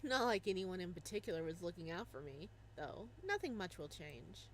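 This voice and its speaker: A woman voicing a quiet, lonely young man from a visual novel, speaking softly in her own voice. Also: high voice, very animated, moderate pace, a little hiss or hum, rough recording. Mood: wistful.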